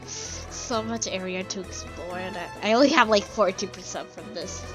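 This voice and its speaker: A woman giving casual live gameplay commentary in an excitable, slangy, rambling way. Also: mid-range voice, moderate pace, poor audio. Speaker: game streamer. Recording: game music behind voice. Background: game music.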